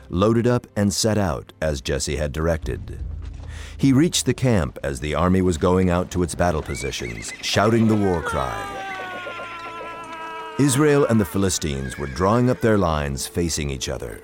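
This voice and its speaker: A man narrates scripture as scripted drama. His voice is deep, with widely varied intonation. Music plays clearly behind him. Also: very animated, moderate pace.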